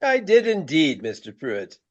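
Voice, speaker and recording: Male performer as Regency thespian, radio drama. Self-important, formal diction, theatrical, higher than natural voice. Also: wildly swooping pitch, measured pace, highs cut off, full character voice. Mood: proud, formal. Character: pompous Regency-era gentleman stage actor.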